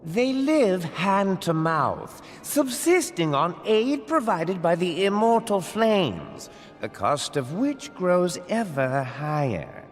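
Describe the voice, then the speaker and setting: A man's voice: high-pitched, very animated, slow pace, long reverb tail, exaggerated voice. A man voicing a scheming, condescending wealthy merchant lord in a game cutscene, drawling and theatrical. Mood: contemptuous.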